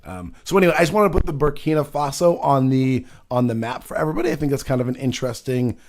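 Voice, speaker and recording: A man's voice: medium pitch, quick pace, a little mellow, a little hiss or hum. Male host, loose rambling delivery, frequent self-corrections. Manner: rambling, many restarts and fillers. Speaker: show host. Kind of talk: casual political chat.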